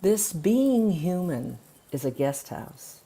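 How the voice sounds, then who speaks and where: Woman, poetry reader, soft dark tone, very unhurried, deliberate pauses, spoken-word delivery. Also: fairly low pitch, sing-song, breathy edge. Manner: measured, deliberate phrasing with pauses.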